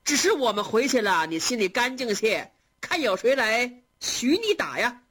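A woman voicing a grieving elderly matriarch in a storybook reading, sharp with scorn and swinging widely in pitch as she scolds. The voice is slightly husky and raised above the narration. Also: sing-song, moderate pace, full character voice. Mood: scornful. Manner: indignant, scornful, tearful.

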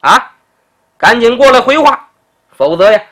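Guy voicing a sharp clever official, scolds fast, bright voice. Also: very high-pitched, animated, voice pushed up, heavily acted. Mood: scolding. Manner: quick, scolding, lecturing.

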